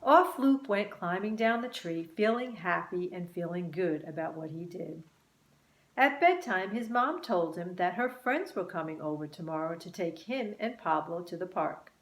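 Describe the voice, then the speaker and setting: A woman reading a children's story aloud as scripted narration, expressive and deliberate. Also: lowish voice. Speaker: storybook reader. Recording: roomy, echoing sound.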